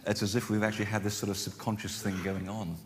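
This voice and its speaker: Lower-voiced man lecturing in a reverberant hall. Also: flat delivery, fast talker, a little hiss or hum. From Portugal. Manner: chatty, self-deprecating, frequent fillers and restarts. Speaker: conference speaker. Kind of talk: lecture to a live audience. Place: conference hall.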